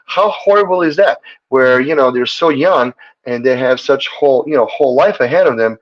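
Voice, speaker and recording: A man's voice: mid-range voice, moderate pace. A man from Serbia, a guest on a health talk show, talking earnestly and rambling with many fillers. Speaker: chiropractor guest. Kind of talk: casual talk show chat. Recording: muffled lo-fi audio.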